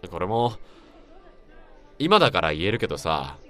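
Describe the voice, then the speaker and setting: A man playing a blunt, teasing but caring old friend in a radio drama, speaking casually and roughly. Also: low-pitched, big pitch swings, normal speed, sharp tone, exaggerated voice. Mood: reassuring.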